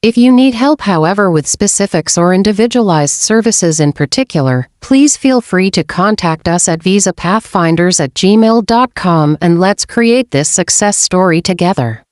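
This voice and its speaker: A woman's voice: fairly low pitch, moderate pace, few pauses, dry recording. Dry, close-miked woman narrating a script. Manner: even, read-aloud delivery. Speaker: channel narrator.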